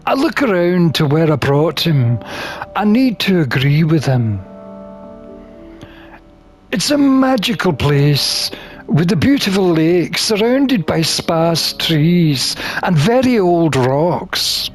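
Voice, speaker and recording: A man reading a visual novel aloud in an expressive storytelling style. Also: high-pitched. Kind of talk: expressive scripted narration and character reading.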